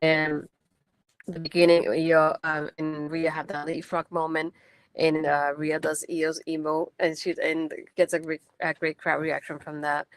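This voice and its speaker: Woman host, low voice, chatty and enthusiastic, non-native English, dry close sound. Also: level tone, measured pace, dry recording, poor audio. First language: not English. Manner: chatty and enthusiastic, many fillers. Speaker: post-show host. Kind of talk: casual wrestling event recap.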